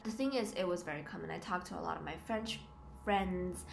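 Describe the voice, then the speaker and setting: A woman's voice: on the low side, a little fast, vocal fry. Young woman vlogging over background noise. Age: 20s. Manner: hesitant, emphatic, repeats words as she goes. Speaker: student living abroad, vlogger. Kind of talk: casual confessional talk to camera. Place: small plain room indoors. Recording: noisy home camera recording.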